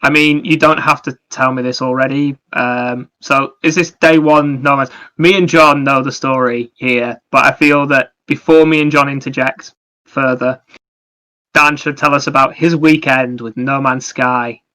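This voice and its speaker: A man's voice: mid-range voice, fairly even intonation, measured pace, pushed and bright, rough recording. A man co-hosting a podcast with casual banter and a wry, joking way of talking. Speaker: podcast co-host.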